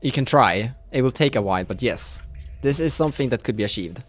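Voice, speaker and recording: A man's voice: mid-range voice, expressive, quick pace, a crowd in the background. A man narrating an improvised tabletop roleplaying game as the game master.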